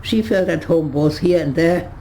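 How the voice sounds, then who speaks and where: Gravelly, halting woman over 100. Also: fairly low pitch, mellow, slight background noise, rough recording. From Germany. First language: German. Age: 100s. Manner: halting, many restarts and searches for words. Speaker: interviewee. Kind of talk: reminiscing in conversation. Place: her home.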